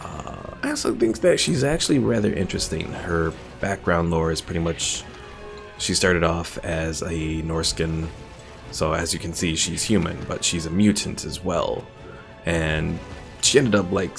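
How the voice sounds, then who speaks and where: A young man with a low voice and a fair bit of vocal fry. He chats casually as a game streamer, easygoing, with faint music behind him. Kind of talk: casual live game commentary.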